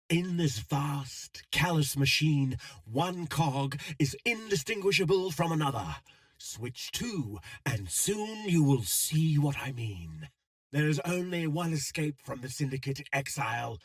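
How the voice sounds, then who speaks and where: A cold, world-weary male schemer from a video game, cryptic and eloquent. He takes his time over each phrase, with theatrical swings in pitch. The recording is close and dry, with no room around the voice. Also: dry recording. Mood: cryptic, sly, then weary pleading. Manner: measured, eloquent, deliberate phrasing. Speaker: video game character voice actor. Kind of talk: scripted game dialogue, theatrical.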